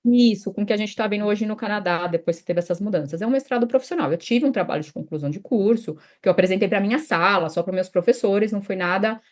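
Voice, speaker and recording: A woman's voice: medium pitch, fast, hardly any pauses. A woman from Brazil in her thirties, a guest in a casual interview, talking chattily with many restarts and fillers. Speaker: guest, immigration consultant.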